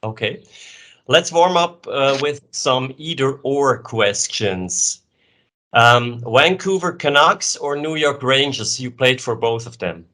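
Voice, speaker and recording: A man's voice: medium pitch, lively intonation, takes it slowly, sharp tone. A man from Switzerland, asking conversational questions as a sports interviewer.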